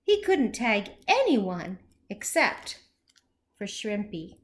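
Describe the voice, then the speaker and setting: A woman reading a children's story aloud as a teacher would, expressively and with deliberate phrasing for young listeners. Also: medium pitch. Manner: animated, slow, deliberate, with questions to young listeners.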